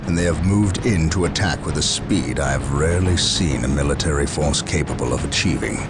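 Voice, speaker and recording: An actor playing a stern veteran space marine commander in a video game, with formal, authoritative military diction. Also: male voice, a bass voice, exaggerated voice. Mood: grave, reflective. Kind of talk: video game cutscene acting.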